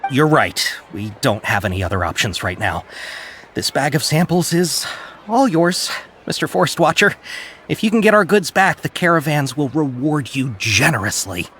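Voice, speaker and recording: An actor playing a friendly, eager caravan merchant in a game, speaking in a jovial, obliging way. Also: male voice, fairly high pitch, lively intonation, moderate pace, a bit bright, a little hiss or hum. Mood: earnest. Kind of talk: game cutscene dialogue.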